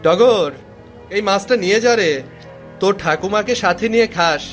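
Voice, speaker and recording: A man's voice: high voice, normal speed, faint music behind, natural voice. A man voicing a kindly, generous older village fisherman in a cartoon, avuncular and insistent. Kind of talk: cartoon voice acting.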